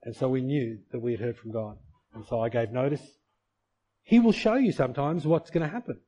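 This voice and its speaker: Middle-aged guy pastor preaching in church, mellow voice, earnest, asks lots of questions. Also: mid-range voice, fairly quick, husky, low-quality recording. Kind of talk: sermon.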